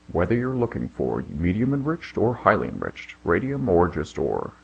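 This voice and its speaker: A man playing a deadpan corporate spokesman, delivering a measured sales pitch in a mock radio commercial. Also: creaky, slightly rough, highs cut off, heavily acted. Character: smooth-talking male corporate spokesman in parody sponsor advert.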